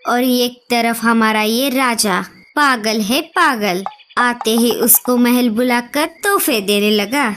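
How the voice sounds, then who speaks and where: A high-voiced, indignant female bird in a comedy cartoon, voiced by a woman. She scolds with suspicion and scorn, each word slow and pointed. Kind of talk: Hindi cartoon comedy dialogue.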